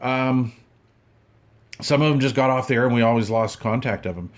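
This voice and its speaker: A man in his fifties with a mid-pitched voice talks like a hobbyist showing things to friends. His delivery is casual and folksy. He rambles along in loose, informal slang. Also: normal speed. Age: 50s. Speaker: radio hobbyist vlogger. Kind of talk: casual unboxing chat.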